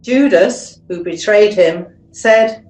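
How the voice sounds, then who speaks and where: A woman giving a solemn, measured scripture narration as a lay reader, recorded in an echoey room. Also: mid-range voice, darkish tone.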